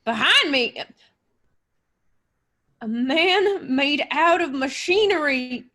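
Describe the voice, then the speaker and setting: A woman playing a gruff, suspicious, excitable country sheriff in an old-style radio play. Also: sits high, takes it slowly, full character voice. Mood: disbelieving. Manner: brusque, disbelieving.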